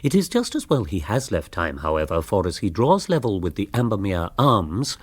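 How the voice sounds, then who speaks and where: An actor playing a wry, urbane, gently mocking author who narrates a comic radio drama in an English accent. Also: male voice, fairly low pitch, big pitch swings, moderate pace, slightly rough, no echo.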